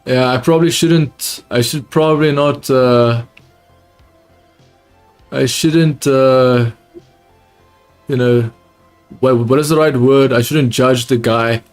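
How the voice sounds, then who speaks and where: A young man streams live reactions to a motor race, talking in English that is not his first language, with an accent that comes close to British. He gets excited, repeats his own phrases and calls his viewers dude. Faint music plays low behind him. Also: takes it slowly, pauses often. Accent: close to British, not fully. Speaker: livestream host watching a race. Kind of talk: casual live reaction commentary.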